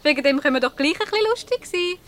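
High-voiced, teasing Swiss woman. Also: expressive, rapid-fire, a bit bright, slight background noise. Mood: teasing, amused. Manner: playful, mock-naive. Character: teasing, good-humoured woman playing innocent. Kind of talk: radio comedy play acting.

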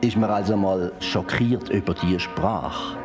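A man with a Swiss German accent giving his opinion in a measured, disapproving manner. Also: low voice, fairly even intonation, music in the background. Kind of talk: spoken opinion.

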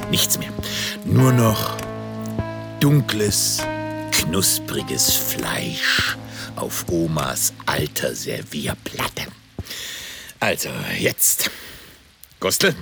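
A man's voice: gravelly, heavily acted. An actor playing a big, pompous, middle-aged opera-singer father in a children's radio play, theatrical and blustering. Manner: theatrical, pompous, swooping between low muttering and high outbursts. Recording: loud music behind the voice.

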